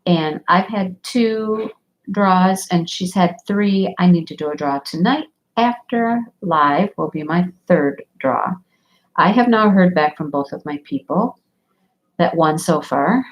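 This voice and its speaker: A woman's voice: lowish voice, slow, poor audio. A woman with a stuffy, sniffly nose, rambling chattily as she hosts a crafting livestream. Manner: rambling, chatty, laughs often. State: stuffy nose from a sinus infection. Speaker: diamond painting livestream host. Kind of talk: casual chat while crafting.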